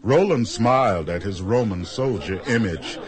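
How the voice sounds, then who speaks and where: A man narrating a scripted radio drama as a measured storyteller. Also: on the low side, expressive, a crowd in the background.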